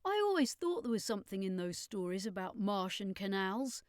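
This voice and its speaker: A woman voicing an excitable, eager and polite young boy in an audiobook. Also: medium pitch, normal speed, no echo, studio quality, lightly acted. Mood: amazed.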